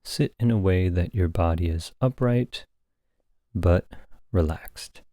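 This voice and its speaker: A man leading a guided meditation as a teacher, speaking calmly and gently in short phrases with long pauses. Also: sits low, no room sound, studio quality.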